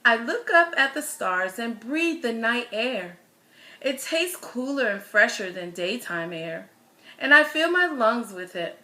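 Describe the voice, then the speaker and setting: A woman reading a children's picture book aloud as a storyteller, with expressive, deliberate phrasing and stressed words. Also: a little high.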